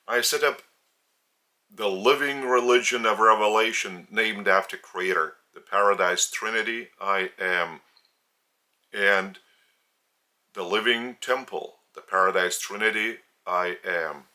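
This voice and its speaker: A man with a Lithuanian accent, a native Lithuanian speaker, giving a spiritual teaching from home in a slow, deliberate, earnest way with many pauses. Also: on the low side, pushed and bright. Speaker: religious teacher. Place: indoors at home.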